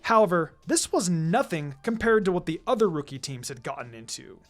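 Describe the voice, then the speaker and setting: A narrator reading fan fiction aloud in an expressive, scripted storytelling style. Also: mid-range voice, brisk. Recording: music behind the voice. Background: background music.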